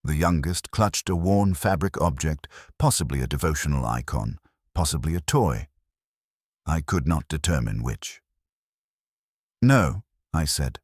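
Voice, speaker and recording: A man narrating a scripted dramatic story in a solemn, measured way, with short clipped statements. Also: extremely low pitch, husky, no room sound, studio quality.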